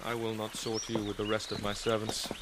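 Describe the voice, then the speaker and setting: An actor playing a young prince feigning madness, sardonic, playful and bitter in a theatrical Shakespearean radio drama style. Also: male voice, fairly low pitch, monotone, a little fast, over a noisy crowd, natural voice. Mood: probing.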